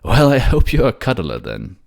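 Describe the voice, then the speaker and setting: A man playing a brisk, soothing, protective mage king in a fantasy audio drama, with animated, emphatic delivery. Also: mid-range voice, vocal fry, voice pushed up, heavily acted. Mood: teasing, commanding.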